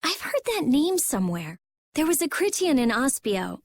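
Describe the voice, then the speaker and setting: An actress playing a sharp-tongued young girl scholar in a video game, insistent, analytical and curt. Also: sits high, big pitch swings, normal speed, no room sound. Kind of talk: video game cutscene acting.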